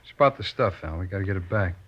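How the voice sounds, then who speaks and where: A bitter small-time crook from old radio, low voice, fast slangy talk, muffled sound. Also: male voice, lively intonation. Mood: angry, insistent. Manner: slangy, gruff, aggrieved. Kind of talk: 1950s radio police drama acting. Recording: dull vintage radio recording.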